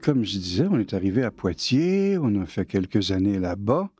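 A man in his late sixties from Quebec, with a Québécois French accent, telling his own life story casually with many pauses and fillers. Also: on the low side, big pitch swings, darkish tone, breathy edge, faint music behind. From Quebec, Canada. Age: 60s. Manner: hesitant, many fillers and restarts. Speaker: interviewee.

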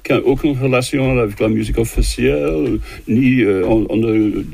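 Older guy, husky voice, dry close sound, lots of euhs and restarts. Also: mid-range voice, darkish tone, dry recording. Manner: halting, many fillers and restarts. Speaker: composer guest. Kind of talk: interview answers in a second language.

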